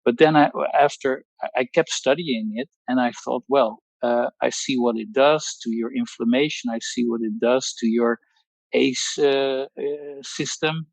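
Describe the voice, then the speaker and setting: A man in his sixties with a Dutch accent, speaking English as a non-native speaker over a video call. His voice sits at a middle pitch with a dry, close sound and no room echo. He hesitates often and restarts his phrases, with careful technical wording. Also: fairly even intonation, measured pace, vocal fry. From Netherlands. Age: 60s. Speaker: guest, pharmacologist. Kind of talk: personal testimony on a group call.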